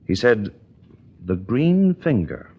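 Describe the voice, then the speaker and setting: An earnest British chief inspector in an old detective radio play. His low voice moves expressively through formal, emphatic, deliberate reports to his superior. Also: sing-song, slightly rough, highs cut off, heavily acted. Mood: puzzled, serious. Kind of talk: vintage British detective radio drama acting.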